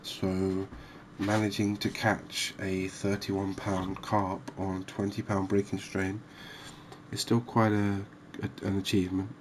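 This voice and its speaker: Low, even-voiced man, relaxed game commentary. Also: slow, noisy background. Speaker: host of a cozy fishing game channel. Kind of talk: relaxed ASMR-style gameplay commentary.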